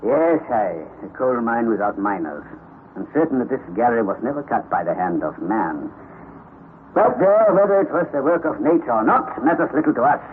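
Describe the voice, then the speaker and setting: A man's voice: medium pitch, big pitch swings, a little fast, natural voice. A male actor playing a stern, determined explorer uncle in an old radio drama, with a commanding, brusque manner. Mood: resolute. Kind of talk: 1930s radio drama acting. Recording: old lo-fi radio broadcast, faint hiss.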